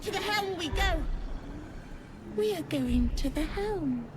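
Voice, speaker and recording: A woman voicing a small, eerie brain creature in a video game, chanting slowly in plural, repetitive phrases. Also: very high voice, animated, background noise, exaggerated voice. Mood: eerie urging. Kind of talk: video game character acting.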